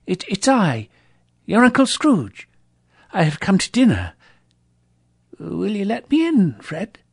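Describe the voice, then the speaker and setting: A giddy, newly kind old miser, voiced by a man narrating a storybook, lifts his voice high and loud. The voice has a husky edge and swoops about freely. The recording is dry and close. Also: slow pace, no room sound, full character voice. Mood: eager. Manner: excited, raised and high.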